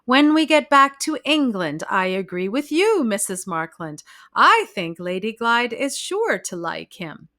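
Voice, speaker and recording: A woman voicing a cold, jealous aristocratic husband who makes measured threats, in an audiobook reading. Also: a little high, sing-song, a bit bright, pitched above the performer's own voice. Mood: pointed.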